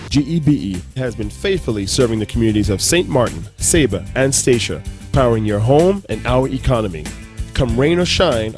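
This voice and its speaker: A man reading scripted promotional narration as an advert voice-over. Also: mid-range voice. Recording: music bed behind voice.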